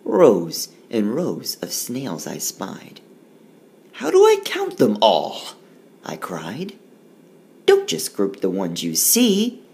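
A male teacher reading a rhyming picture book aloud and walking through the math, recorded as a tablet screencast voice-over. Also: vocal fry. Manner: slow, sing-song rhyming read-aloud with lively pitch.

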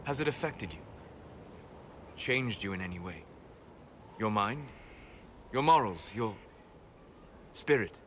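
A man playing a calm, reserved, scholarly elf mage in a video game, speaking formally in deliberate, measured phrases. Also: fairly low pitch, slight background noise. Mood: earnest, thoughtful. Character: calm, scholarly, reserved elven mage, admiring yet condescending. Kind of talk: video game dialogue acting.

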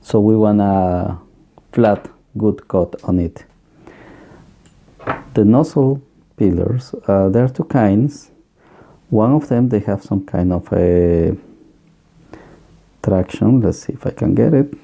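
Gravelly, dark-voiced man explaining workshop gear. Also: fairly low pitch. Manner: halting, plain advice with many fillers and restarts. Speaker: electronics workshop host. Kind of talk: casual hands-on equipment walkthrough. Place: electronics workbench room, indoors.

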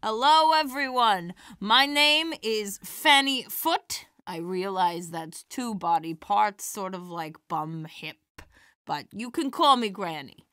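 A folksy old granny comic, acted by a woman, with a bright, forward voice in a dry, close recording. She chats and tells her tales with animation, kindly and playful, in audio drama style. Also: medium pitch, expressive, takes it slowly, smooth, no room sound. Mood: playful, performing. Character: elderly widowed granny stand-up comedienne, folksy and kindly. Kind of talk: audio drama dialogue and stand-up routine.

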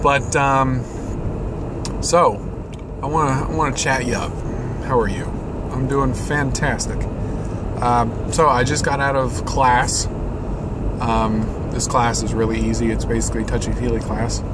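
A man in his twenties with an American accent, chatting casually and rambling as he records a vlog while driving. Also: mid-range voice. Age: 20s. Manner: rambling, informal, mildly profane. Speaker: vlogger. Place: inside a moving car. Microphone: camera on the dashboard. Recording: loud car road noise.

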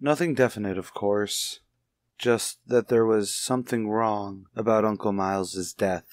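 A man playing a troubled, apologetic family friend in a radio play, speaking haltingly. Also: on the low side, steady tone, dry recording, studio quality, natural voice. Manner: halting, hesitant.

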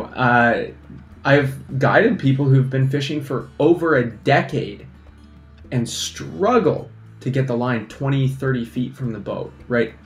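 A young man, a fly fishing instructor, giving energetic casual advice to camera at a desk microphone, often checking in with "right?". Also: medium pitch, roomy sound. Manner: energetic, animated hand gestures, lots of "right?". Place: small indoor studio against a plain backdrop. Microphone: desk microphone in front.

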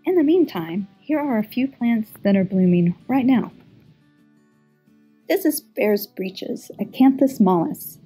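Mellow husky woman narrating a plant tour. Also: medium pitch, low-quality recording. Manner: informative, measured. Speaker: garden manager. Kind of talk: scripted plant tour voice-over.

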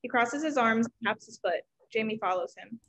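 Higher-pitched woman reading stage directions. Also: normal speed, a bit bright, poor audio. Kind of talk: scripted narration. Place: room at home, video call.

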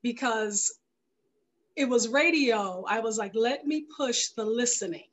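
A woman's voice: a little high, measured pace. A middle-aged woman guest telling her story casually in a video-call interview, often acting out what was said. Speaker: guest filmmaker. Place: indoor room at home, video call. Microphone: headset on a video call.

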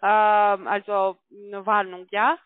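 A woman speaking as a non-native call centre agent on the phone, halting and insistent, in broken sentences. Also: medium pitch, flat delivery, dry recording. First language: not German. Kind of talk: unscripted phone conversation. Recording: muffled narrow phone line.

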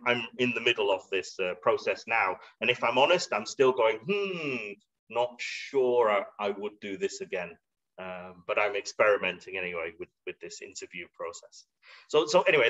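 A man's medium-pitched voice comes through somewhat dull video-call audio. He presents in an informal, explanatory way, conversational and slightly hesitant, as if working out his thoughts while speaking. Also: sharp tone. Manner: conversational, hesitant, thinking aloud with rhetorical examples. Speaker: webinar presenter. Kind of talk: informal explanatory webinar talk with slides. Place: indoor room.

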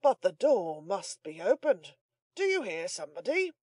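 Casting: female reader as a self-important old manservant, blustering and excitable, audiobook character work, dry close recording. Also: mid-range voice, moderate pace, breathy edge, dry recording. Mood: pompous composure. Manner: pompous, blustering. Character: pompous, self-important old male servant, excitable and boastful.

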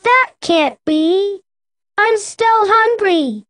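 A woman's voice: very high-pitched, animated, very slow, a bit bright, no room sound, studio quality, heavily acted. A woman voicing a silly, playful little male animal in a children's learning game. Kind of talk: children's educational game acting.

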